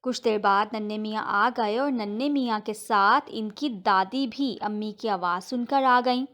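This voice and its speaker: A woman's voice: medium pitch, measured pace, a bit bright. A woman narrating a scripted moral story for children as a storyteller.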